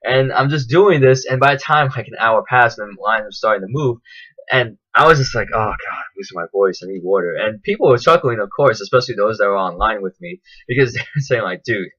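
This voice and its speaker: A man telling a casual, enthusiastic vlog recap in a chatty, animated way. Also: fairly low pitch, a little fast, husky, dull audio. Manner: animated, chatty, acts out quotes in raised voices. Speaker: vlogger.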